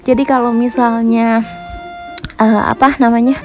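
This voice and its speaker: A woman's voice: a little high, flat delivery, a little slow. A woman in her thirties, a housewife vlogger, narrating her chores in a friendly, chatty voice-over that keeps addressing her viewers. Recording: voice-over with music bed. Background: background music.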